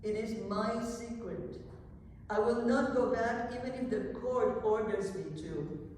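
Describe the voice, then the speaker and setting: A woman performs a young enslaved woman who yearns for freedom in a dramatic poem. She speaks slowly, solemn and resolute. The sound is distant and very reverberant, as in a large hall. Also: on the high side, darkish tone, lightly acted. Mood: grave, resolute. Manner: deliberate, measured, solemn. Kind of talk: dramatic poetry reading. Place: echoing indoor hall before a live event. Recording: echoey hall, distant mic, faint noise.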